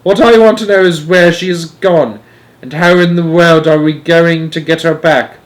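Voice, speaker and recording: Man putting on a shrill, panicking woman. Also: steady tone, normal speed, natural voice. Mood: frantic alarm. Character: distraught middle-aged woman wailing for her vanished mother.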